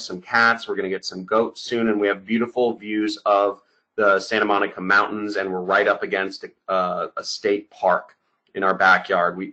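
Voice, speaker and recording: A man presenting a sales webinar over slides, talking in an energetic, emphatic, salesy way. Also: on the low side, highs cut off.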